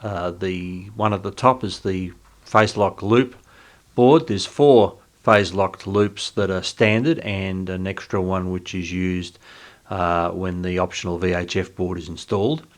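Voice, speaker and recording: A man giving an informal, unscripted hands-on walkthrough as a hobbyist host, speaking haltingly as he thinks aloud. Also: low voice, steady tone. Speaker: video host, radio hobbyist.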